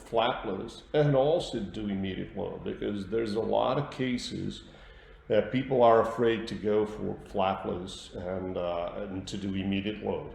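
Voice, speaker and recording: Gravelly, slow-paced Portuguese male lecturer. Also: fairly low pitch, darkish tone. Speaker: dentist giving a webinar lecture. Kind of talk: instructional webinar presentation. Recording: roomy echo with light background noise.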